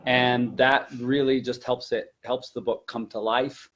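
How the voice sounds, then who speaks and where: A man's voice: medium pitch, steady tone, measured pace. A man who teaches languages, presenting practical classroom advice in an informal, conversational way.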